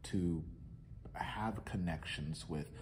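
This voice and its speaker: Earnest man, low voice, interview. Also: slow pace, a little mellow, slight background noise. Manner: earnest, reflective, gestures while talking. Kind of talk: casual interview answers. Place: large indoor lounge with tables.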